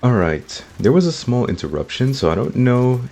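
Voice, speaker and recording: A man with a slightly sick, rough throat, giving upbeat casual commentary over his gameplay and talking to his viewers. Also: medium pitch, big pitch swings, normal speed, warm tone. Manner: upbeat, talks to viewers as guys. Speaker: gaming YouTuber.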